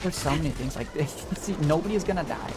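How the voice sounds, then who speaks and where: Young guy streaming a game, chatting along casually, kinda high voice, game music behind. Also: expressive, fast talker. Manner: rambling, conversational. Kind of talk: casual gameplay commentary.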